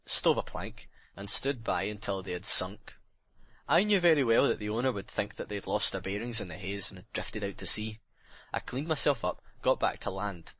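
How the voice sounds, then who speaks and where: Low dry voice, guy acting as bitter haunted jealous sailor confessing, intense audiobook drama. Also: sharp tone, dry recording. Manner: intense dramatic confession, plain working-man's phrasing.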